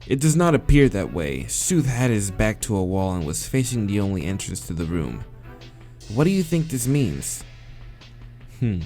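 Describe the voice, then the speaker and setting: A man's voice: on the low side, normal speed, faint music behind. A man playing a visual novel, reading the dialogue aloud and muttering and swearing as he picks answers. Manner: hesitant muttering with swearing, then steady reading. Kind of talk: let's play, reading dialogue and reacting.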